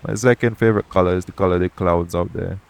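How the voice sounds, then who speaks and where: Low-voiced roguish pirate captain, acted by a man. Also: fairly even intonation, fast. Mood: playful, tender. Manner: colloquial dialect grammar, gently teasing, frank. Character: shady, roguish male pirate captain, jaded yet tender romantic. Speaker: audio roleplay voice actor. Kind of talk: intimate audio roleplay acting addressed to the listener. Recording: clear background noise, ambient sound bed.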